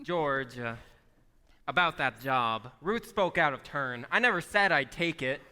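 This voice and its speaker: Bright, cheerful young brother played by an actor. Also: male voice, on the high side, lively intonation, a little slow, full character voice. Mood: sincere. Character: cheerful, sincere young brother home from college. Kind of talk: 1940s radio play acting. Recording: echoey hall, live stage taping.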